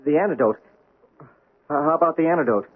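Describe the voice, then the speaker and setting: A man's voice: a little high, expressive, quick pace, slight background noise, close to an everyday voice. An actor playing a nervous husband who stammers and stumbles over his words in a radio drama. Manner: stammering, jittery. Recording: tinny old radio broadcast recording.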